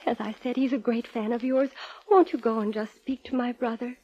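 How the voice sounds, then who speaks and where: An actress playing an anxious, halting, earnest woman seeking help for her brother in a 1950s radio drama. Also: on the high side, steady tone, close to an everyday voice. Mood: anxious, pleading. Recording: dull vintage radio recording.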